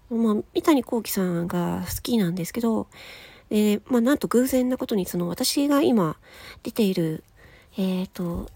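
A woman chatting casually on her own as a podcast host, in a giggly, chatty manner that trails into breathy asides. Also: medium pitch, mellow, dry recording. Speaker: podcast host and amateur voice actress. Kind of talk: casual solo chat.

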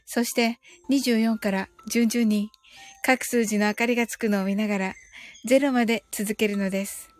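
A woman hosting a guided meditation, speaking in a calm, soothing and deliberate manner. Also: on the high side. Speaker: host of a mindfulness and English audio show. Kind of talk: guided meditation in two languages, slow and measured. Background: background music.

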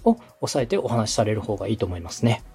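A man's voice: on the low side, very animated, fast talker, warm tone, breathy edge, soft background music. A man from Osaka hosting a podcast and coaching with polite, encouraging, explanatory advice. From Osaka, Japan. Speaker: podcast host, coach, radio director. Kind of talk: instructional advice in a podcast dialogue.

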